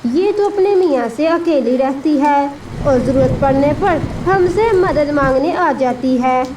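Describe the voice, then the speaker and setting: A woman's voice: high-pitched, a little slow. A woman voicing a spiteful, sarcastic crow wife who nags and scolds in a children's cartoon. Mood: resentful. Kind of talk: children's cartoon story acting. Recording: distant voice with steady rain noise.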